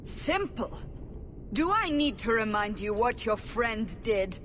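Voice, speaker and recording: A woman playing a stern, zealous interrogator in a video game, accusing and questioning forcefully. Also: fairly high pitch, sing-song, slow pace, noisy background. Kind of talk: video game cutscene acting.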